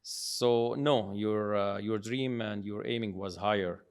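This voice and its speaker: A man's voice: on the low side, slow pace, clear, resonant tone. A middle-aged man telling his life story in a casual conversation, proud and rambling, often restarting his sentences. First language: English. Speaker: guest, father of the host.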